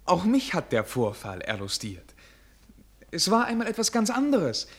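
A man's voice: sits high, huge pitch swings, normal speed, breathy edge, full character voice. A man playing an eager, courteous young prince in a radio play, formal and aristocratic in manner. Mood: regal, amused. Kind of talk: German radio play acting.

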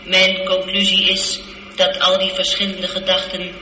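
An actress playing a calm, logical, seductive spaceship computer in a radio drama, speaking in a measured, precise and unemotional way. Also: female voice, on the low side. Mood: calm, factual. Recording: muffled, echoey, noisy old radio recording.

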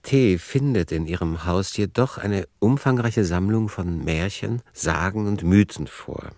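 An author deliberating over a manuscript in a radio drama. The voice is deep and sits in a dry, close acoustic. The delivery is sober, analytical and essay-like. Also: male voice, a little slow, a little mellow, no room sound. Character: analytical male author reasoning aloud over his novel plot. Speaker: author's working-notes voice in a radio play. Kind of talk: essayistic scripted commentary in a radio play.

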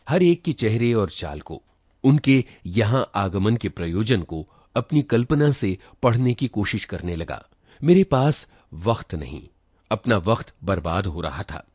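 A man narrating a literary short story in an expressive reading style. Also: sits low, slightly rough, no echo. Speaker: story reader.

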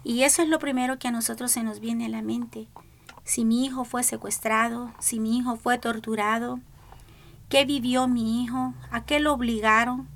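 A woman's voice: a little high, fairly even intonation, normal speed, vocal fry, a bit bright. A middle-aged woman from El Salvador with a Salvadoran Spanish accent, speaking as the mother of a missing migrant on a radio panel, earnest and pleading. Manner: earnest, pleading, repeats "¿verdad?".

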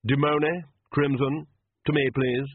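A man playing a suave, condescending young vampire aristocrat in an audiobook, speaking slowly and with polish. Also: mid-range voice, steady tone, narrow, tinny audio, slight background noise, pitched above the performer's own voice. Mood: smooth, gallant.

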